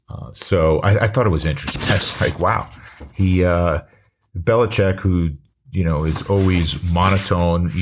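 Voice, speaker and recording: An American man giving an opinion monologue as a sports radio host, measured and emphatic, often posing questions and answering them himself. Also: fairly low pitch.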